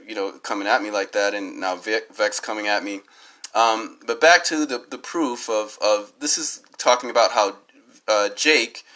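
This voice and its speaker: A hoarse man talks into a phone he holds up himself, drifting off on tangents and restarting his sentences. His voice has a bright, sharp edge despite the rasp, and his pitch sits in an ordinary middle range. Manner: tangential, many fillers and restarts. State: hoarse from a cold. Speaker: DJ giving his side of a dispute. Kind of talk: rambling on-camera commentary while browsing web pages. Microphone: phone held up at arm's length.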